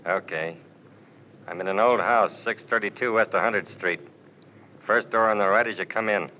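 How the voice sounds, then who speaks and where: An actor playing a menacing, cocky gangster in a 1940s radio drama, with tough, sneering talk. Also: male voice, fairly low pitch, normal speed, slight background noise, natural voice. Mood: curt. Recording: dull lo-fi old radio.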